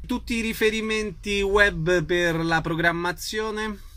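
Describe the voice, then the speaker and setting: Higher-pitched male radio interviewer. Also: fairly even intonation, slow, pushed and bright, no echo. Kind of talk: brief informal interviewing.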